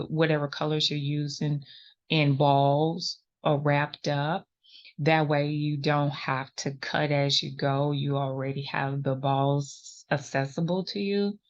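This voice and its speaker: A woman's voice: sits low, dull audio. A woman hosting a crochet tutorial, talking patiently and reassuringly, counting stitches aloud, a little sniffly from a runny nose. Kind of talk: step-by-step crafting instruction.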